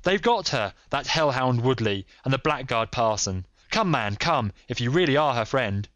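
A man's voice: mid-range voice, lively intonation, normal speed, fairly bright tone, no echo, close to an everyday voice. A man voicing a desperate, threatening but gallant stranger in an adventure storybook, exclaiming urgently. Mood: frantic despair. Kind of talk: dramatised audiobook character.

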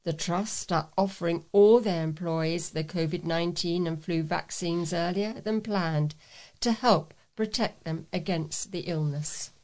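A woman's voice: lowish voice, a little slow, a little mellow. A woman reading scripted local news items as a volunteer newsreader.

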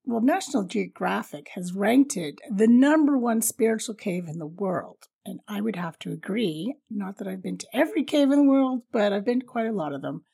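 A middle-aged woman with a raspy voice hosting a travel podcast in an informal, upbeat, jokey, chatty way. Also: mid-range voice, dark tone. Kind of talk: chatty solo podcast storytelling.

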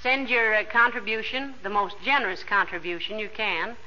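A woman's voice: mid-range voice, moderate pace, pushed and bright. A woman reading a scripted charity appeal on a 1940s radio show. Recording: muffled, faintly noisy old radio recording.

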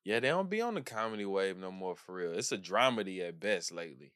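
A man co-hosting a podcast, relaxed and casual, often answering with soft murmured agreement. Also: sits low, quick pace, smooth. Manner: relaxed, frequent soft mm-hmm backchannels. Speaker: podcast co-host.

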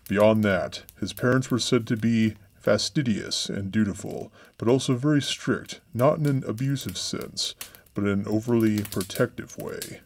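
A man hosting a podcast, reading a scripted true-crime story in a measured, somber way. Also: on the low side, darkish tone. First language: English. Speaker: podcast host.

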